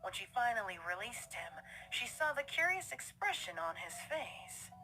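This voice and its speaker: A woman narrating a scripted fan-fiction story in a theatrical, deliberate storytelling manner. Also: a little high, very animated, bright, forceful tone, slight background noise, rough recording.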